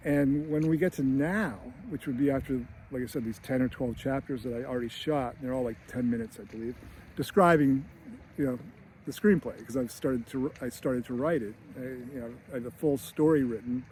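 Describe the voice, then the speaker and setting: A middle-aged man with a Boston accent talks loosely to camera, rambling through his thoughts with restarts and small laughs. His voice sits at an ordinary pitch, and faint traffic and outdoor ambience run behind him. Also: fairly quick, darkish tone, low-quality recording. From United States. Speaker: screenwriter and teacher. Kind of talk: casual vlog to camera. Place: outdoors near a beach.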